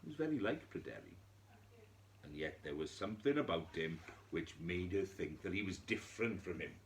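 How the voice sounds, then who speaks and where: A man storyteller narrating a scripted fairy tale for children, theatrically, in measured phrases with dramatic pauses. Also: low-pitched, expressive. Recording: echoey room with faint noise.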